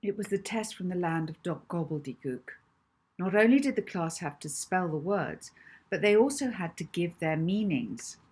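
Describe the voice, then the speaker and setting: A woman's voice: on the low side, expressive, moderate pace, a little mellow, breathy edge. A woman narrating a children's audiobook, reading scripted story prose expressively as its author.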